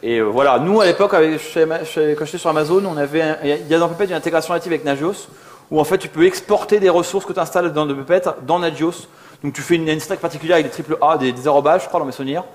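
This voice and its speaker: Male conference speaker, rapid and a little high-pitched, chatty and slangy while fielding questions. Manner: casual, slangy, self-deprecating. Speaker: conference speaker, software developer. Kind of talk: informal conference Q&A.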